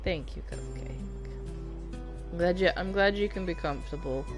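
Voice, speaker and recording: A game streamer chats casually with viewers between bits of play, mumbling in a mostly monotone, mid-pitched voice. Game music runs underneath the whole time. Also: moderate pace. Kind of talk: casual chat while gaming.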